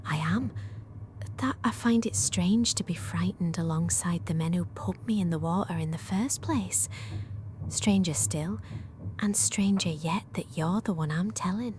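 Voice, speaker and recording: A shipwreck survivor played by a woman in a wartime radio drama, with a fairly low voice. She holds her fear in composure, hushed and restrained, over faint noise. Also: a little slow, lightly acted. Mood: frightened, restrained. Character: frightened but composed female shipwreck survivor.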